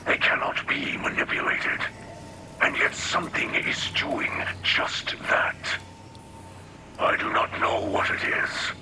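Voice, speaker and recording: An actor playing a solemn, devout alien elder in a video game, with a breathy rasp and halting, formal phrasing. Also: male voice, bright, forceful tone. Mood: grave, foreboding. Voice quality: breathy, rasping, half-whispered. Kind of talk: scripted video game cutscene acting. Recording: game music behind the voice.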